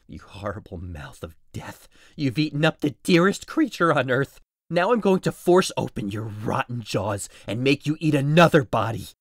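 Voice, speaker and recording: A man playing a desperate, grief-stricken young husband in a dramatic audio drama, intense and swinging between hushed menace and anguish. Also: medium pitch, sing-song, normal speed, no echo, clean studio sound. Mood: desperate, fierce. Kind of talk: dramatic audio drama acting, modernized Shakespeare.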